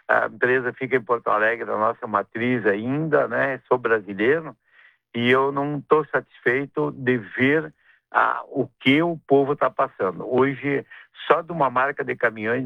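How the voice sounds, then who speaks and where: A middle-aged man with a gaúcho accent from southern Brazil, a businessman guest talking in a radio interview, rambling and halting with many restarts and tag questions. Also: medium pitch, creaky, no room sound. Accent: Gaúcho Portuguese. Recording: tinny phone-line radio sound.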